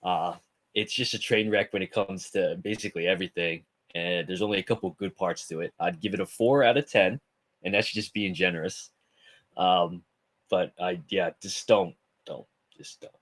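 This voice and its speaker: A man's voice: sits low, moderate pace, a bit bright. A man on a movie panel giving his verdict casually, in a blunt and dismissive manner. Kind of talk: casual film rating.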